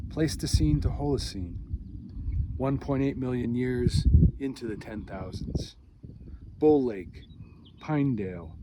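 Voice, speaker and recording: A man reading a poem as a poet, in measured, deliberate phrases with pauses between them. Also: medium pitch. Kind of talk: poetry reading. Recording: clear outdoor background noise.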